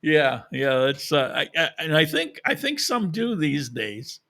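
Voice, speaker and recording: Gravelly man, opinionated and rambling. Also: on the high side, very animated, unhurried, a bit bright. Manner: opinionated, rambling with many restarts. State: losing his voice, short of breath. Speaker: vlogger. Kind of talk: casual chat.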